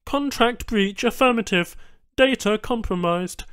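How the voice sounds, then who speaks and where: A matter-of-fact alien technician, acted out by a male reader, pitched well up from a normal male register and very cleanly voiced. The phrases come out terse, stilted and broken, each one stated emphatically, as in a science fiction audiobook with a dry, close sound. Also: measured pace, a bit bright, smooth, no echo. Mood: flat, insistent. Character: matter-of-fact alien technician speaking halting, clipped foreign syntax.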